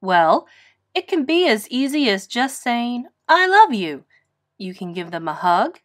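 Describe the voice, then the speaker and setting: Woman playing a loving, expressive mother pig. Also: on the high side, unhurried. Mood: nurturing. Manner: expressive, nurturing. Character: loving, patient mother pig, gently teasing.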